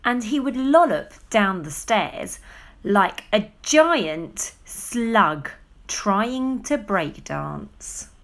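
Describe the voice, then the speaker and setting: A woman reading a children's story aloud with dramatic, emphatic storytelling and drawn-out words. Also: mid-range voice, very animated, fairly bright tone. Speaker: storybook reader. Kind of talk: expressive children's story narration.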